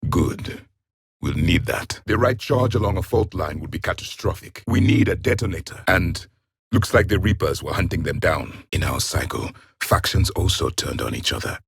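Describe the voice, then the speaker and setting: A man's voice: sits low, animated, normal speed, gravelly, husky, no room sound, clean studio sound, full character voice. A man playing a stern, vengeful ancient alien warrior for a video game, speaking in blunt, formal phrases. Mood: grim, disdainful. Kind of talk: video game character voice lines.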